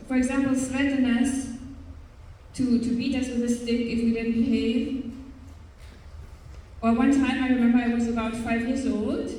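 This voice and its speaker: A woman's voice: fairly high pitch, mellow. A woman with a German accent, a religious sister giving an earnest, hesitant faith testimony to young people at a live event. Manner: earnest, hesitant, with frequent pauses and fillers. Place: large hall at a youth event. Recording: echoey hall, voice from far off.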